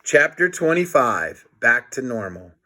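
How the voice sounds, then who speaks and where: Bright-voiced man reading aloud. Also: mid-range voice, big pitch swings, measured pace. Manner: playful, dramatic, comments on the pictures. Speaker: storybook reader. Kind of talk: animated read-aloud of a children's book.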